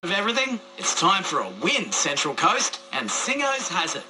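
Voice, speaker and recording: Very noisy radio reception carries a male announcer reading a retail advert. His voice is high-pitched and swings in a very lively way through the scripted sales copy. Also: moderate pace. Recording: very noisy radio receiver playback.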